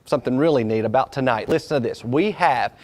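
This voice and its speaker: A man's voice: mid-range voice, fast, darkish tone. A man hosting a fundraising event, chatty and salesy, sometimes stumbling over his words. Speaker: fundraising host.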